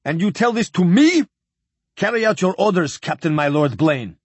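A man's voice: high-pitched, expressive, moderate pace, husky, no room sound, pitched above the performer's own voice. A man playing a stern clipped fleet admiral. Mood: scornful. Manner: clipped, drops articles, demanding. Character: stern older male fleet admiral, cold and commanding.

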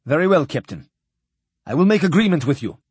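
A man's voice: a little high, very animated, fairly quick, a little mellow, breathy edge, no echo, lo-fi sound, heavily acted. A man playing a stern clipped fleet admiral. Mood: coldly commanding. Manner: clipped, drops articles, demanding. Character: stern older male fleet admiral, cold and commanding.

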